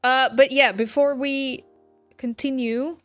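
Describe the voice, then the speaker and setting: Female game streamer, unhurried casual chat to viewers, dry close mic, faint music bed. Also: high voice, steady tone, no echo. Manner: chatty, talking to viewers. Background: soft background music.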